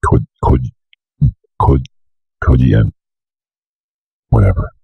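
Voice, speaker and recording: A young man with an extremely low, husky voice crackling with fry walks through software steps. He has a slight cold and talks casually, muttering asides as he goes. The recording is close and dry, at a desk. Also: very animated, takes it slowly, dry recording, phone-quality sound. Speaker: software tutorial presenter. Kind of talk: casual screen-recorded walkthrough. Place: indoor room at a computer desk.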